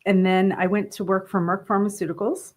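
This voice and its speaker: A woman's voice: mid-range voice, little pitch movement, brisk. A woman in her fifties who grew up in northern New Jersey, chatting easily as an interview guest and laughing often. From northern New Jersey, USA. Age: 50s. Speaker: interview guest, brewery co-owner. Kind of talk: casual interview.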